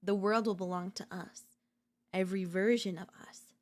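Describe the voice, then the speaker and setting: A woman voice-acting an obsessive, unhinged yandere in a dark ASMR audio roleplay, theatrical and taunting, mocking and seductive. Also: mid-range voice, fairly even intonation, moderate pace, lightly acted. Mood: gloating, tender. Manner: theatrical, taunting, swinging between intimate murmurs and intense outbursts.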